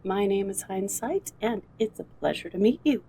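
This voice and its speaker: A woman playing a suave, smug criminal mastermind with a husky voice in an audio drama. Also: medium pitch, lively intonation, normal speed, warm tone. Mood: smooth, pleased. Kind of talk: audio drama villain acting.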